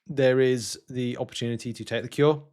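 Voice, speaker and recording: Male gaming video host, mid-range pitch. Casual commentary, unscripted and rambling, hesitant as he reasons things out aloud. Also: steady tone, brisk, a little mellow, clear, resonant tone. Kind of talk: casual game news commentary, reading announcements and musing.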